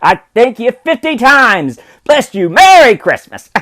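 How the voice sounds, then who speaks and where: A flustered gentleman gushes his thanks in a voice that jumps high and low, as a man voices him in a read-aloud story. He sounds effusive and a little overwhelmed. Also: very animated, unhurried, heavily acted. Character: flustered, grateful gentleman collecting for charity.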